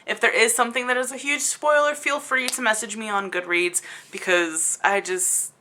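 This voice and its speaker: A woman's voice: fairly high pitch, steady tone, normal speed, sharp tone, slight background noise. A woman giving a casual, rambling video review in an exasperated, emphatic and incredulous manner. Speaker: book review vlogger.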